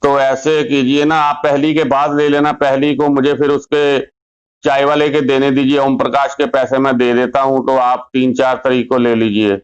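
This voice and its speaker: A man who owes money, calmly giving joking, unbothered excuses over a phone call. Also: mid-range voice, normal speed, clean tone. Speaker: borrower. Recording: muffled phone call recording.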